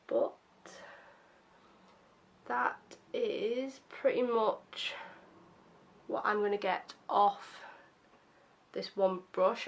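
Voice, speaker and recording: A young woman with a slightly croaky voice, casually chatting through a makeup first-impressions video with lots of ums and self-deprecating asides. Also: medium pitch. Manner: chatty and self-deprecating, many fillers like um and obviously. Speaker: beauty vlogger.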